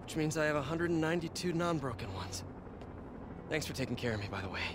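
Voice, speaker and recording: An actor playing a battered young American superhero, earnest, wry and quick to blame himself, in a cinematic video game. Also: male voice, a little high, animated, noisy background, close to an everyday voice. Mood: weary, wry. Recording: loud cinematic music behind.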